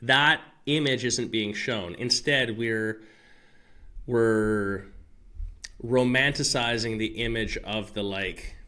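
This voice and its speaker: Man, podcast guest, casual chat, rambling, animated, swears. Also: mid-range voice, slow pace, clear, resonant tone. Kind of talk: casual conversation.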